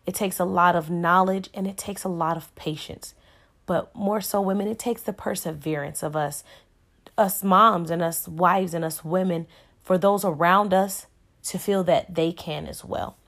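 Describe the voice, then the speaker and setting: A woman in her thirties with a somewhat low-pitched voice talks to her podcast listeners about faith. Her delivery is inspirational and earnest. She leans on key words and repeats phrases in the manner of a preacher. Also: fairly even intonation, normal speed, fairly bright tone. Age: 30s. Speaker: podcast host.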